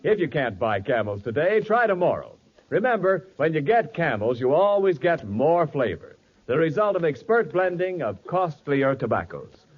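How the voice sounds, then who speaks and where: A man's voice: on the high side, sing-song, normal speed, slightly rough. An American man announcing in the dramatic, scripted style of 1940s radio advertising. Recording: dull 1940s radio recording.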